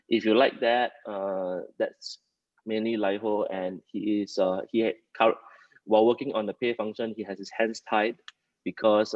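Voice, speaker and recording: A man presenting informally at a company meeting, pausing often mid-sentence as he speaks. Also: on the low side, no room sound. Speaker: company leader hosting a staff townhall. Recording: dull, muffled lo-fi audio.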